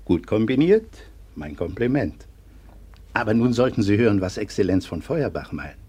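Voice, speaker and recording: An actor playing a stern, dutiful schoolteacher and guardian in a German radio play, speaking formally. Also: male voice, fairly low pitch, sing-song, moderate pace, mellow, breathy edge. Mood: impressed, confiding.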